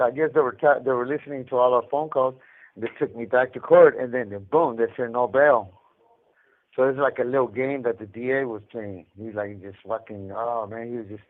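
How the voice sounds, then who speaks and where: A man telling his story in casual, rambling street talk with slang and cursing, as an inmate on a phone call. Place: jail or prison phone line. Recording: muffled low-fi collect phone call.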